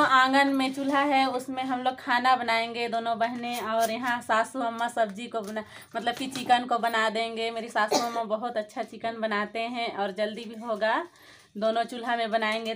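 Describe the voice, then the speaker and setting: A woman's voice: on the high side, fairly bright tone, slight background noise. A woman in her thirties chatting to her viewers as she cooks in a village courtyard, filming herself on a phone held at arm's length. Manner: chatty, addresses viewers directly. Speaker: vlogger. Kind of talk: casual narration to camera. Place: village courtyard and home at night.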